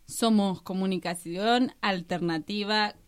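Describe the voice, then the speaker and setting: A woman's voice: medium pitch, slow, smooth. A woman with an Argentine accent from La Rioja, recording playful community radio station promos with repeated chanted lines. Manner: playful, repetitive chanting, laughing asides.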